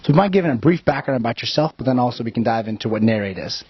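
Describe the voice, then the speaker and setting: A man working as an interviewer, with a husky voice and an informal, affirming way of asking wordy questions. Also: medium pitch, expressive, rapid-fire. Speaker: interviewer, host. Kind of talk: casual on-camera interview. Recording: roomy, dull lo-fi audio.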